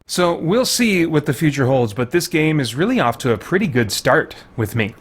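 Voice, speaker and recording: A man's voice: fairly high pitch, big pitch swings, normal speed, breathy edge. A man giving a casual video game review as host, thinking aloud and qualifying his points in a conversational way. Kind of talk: casual spoken review commentary.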